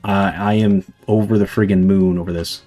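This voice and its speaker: A young man on a livestream, his fairly low voice husky and a little hoarse from hours of talking. He rambles casually through viewers' questions, padding with fillers. Faint music plays underneath. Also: steady tone, normal speed, warm tone. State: slightly hoarse from long streaming. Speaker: livestreamer answering chat.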